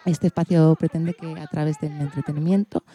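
Low, bright-voiced Spanish woman presenting radio. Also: flat delivery, a little fast. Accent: Spanish, from Spain. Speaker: radio show host.

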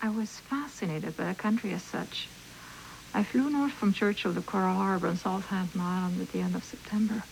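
A woman's voice: mid-range voice, vocal fry, warm tone, breathy edge, rough recording. A woman giving a reflective account as a documentary interviewee, on an archival radio recording.

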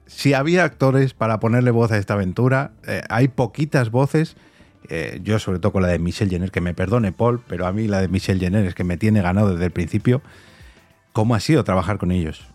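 A man with a fairly low voice and a Castilian Spanish accent hosts a casual podcast interview. He speaks effusively, full of enthusiasm for his guest's work. There is faint music in the recording. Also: a little fast, a little mellow.

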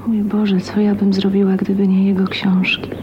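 Radio play heroine, female actor: young, introspective, resigned, medium-pitched inner monologue over clear noise. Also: monotone, normal speed, dull audio, natural voice. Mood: resigned, wistful. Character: young woman thinking aloud, resigned, bookish. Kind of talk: radio drama acting. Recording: noisy radio play recording.

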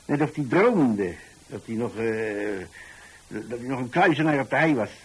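Radio monologue: older tolerant landlord, husky, folksy, rambling colloquial storyteller with hesitant repetitions. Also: male voice, mid-range voice, expressive, a little slow. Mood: chatty, musing. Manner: folksy, hesitant, repeats himself. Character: rambling, tolerant retired landlord telling of his lodgers.

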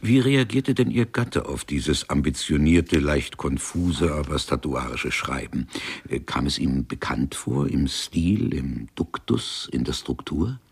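An actor playing a formal, dryly ironic police detective in a German radio crime comedy, speaking in a courteous, deliberate way. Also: male voice, rumbling low, lively intonation, heavily acted. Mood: dry, pondering. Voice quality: gravelly, husky. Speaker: police investigator questioning a witness.

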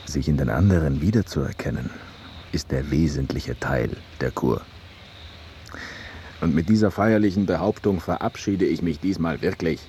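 A gruff veterinarian near sixty with an extremely deep voice. He is wry and philosophical, speaking in aphorisms. This radio play has faint background noise behind him. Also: normal speed, mellow. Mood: wry, reflective. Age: 50s. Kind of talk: radio drama acting.

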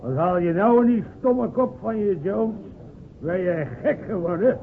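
Blustering, creaky, high-voiced village patriot. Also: male voice, unhurried. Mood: indignant. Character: hot-headed, blustering patriotic village militant man. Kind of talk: radio play acting. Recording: tinny old radio recording, crowd noise.

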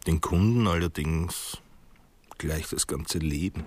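An actor playing a stubborn, brooding private detective who muses dryly and wryly in a radio play. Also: male voice, very deep voice, slow, darkish tone, soft background music. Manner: reflective, dry first-person musing. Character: stubborn one-armed male private detective, brooding and wry.

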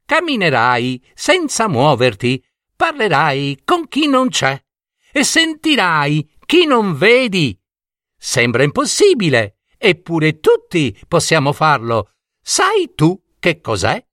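A man's voice: on the high side, wildly swooping pitch, takes it slowly, fairly bright tone, dry recording, clean studio sound, voice pushed up, heavily acted. A man voicing a wise, riddling female fox who keeps the door of a burrow and speaks in a teasing singsong.

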